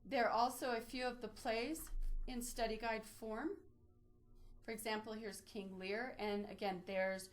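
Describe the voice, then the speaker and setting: A woman's voice: fairly high pitch, level tone, unhurried. A woman presenting an instructional screen-share walkthrough, explaining each step informally as a webinar trainer. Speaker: librarian presenting a database tutorial.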